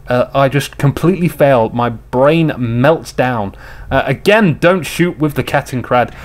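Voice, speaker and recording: A man casually commentating on a game replay, slightly hoarse, rambling and joking with his viewers. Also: mid-range voice, a little slow. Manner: rambling, jokey, chats to viewers.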